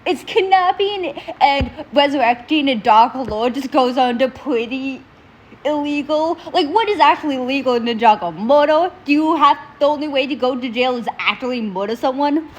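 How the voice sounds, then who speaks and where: A young woman with a stuffy nose and sore throat, hosting a casual reaction video in an animated, opinionated way. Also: sits high, normal speed. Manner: animated, opinionated ranting to viewers.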